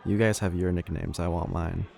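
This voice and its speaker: A male actor plays a wry, cheeky young-at-heart associate in a supernatural audio drama. The voice is deep with plenty of gravelly creak, and the delivery is casual and slangy. A lively crowd murmurs loudly around him. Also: moderate pace. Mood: casual, upbeat. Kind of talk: audio roleplay drama, conversational. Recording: loud crowd chatter behind voice.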